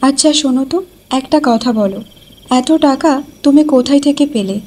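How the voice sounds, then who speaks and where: A woman's voice: sits high, fairly quick, darkish tone. A woman voicing a concerned, chiding village wife in a cartoon, questioning and fretful. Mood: questioning, worried.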